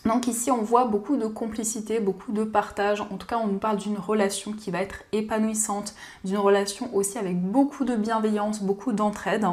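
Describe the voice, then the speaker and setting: A woman giving a gentle, soothing daily card reading, slightly hoarse from a cold. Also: medium pitch, fairly even intonation, normal speed, hardly any pauses. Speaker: card reader.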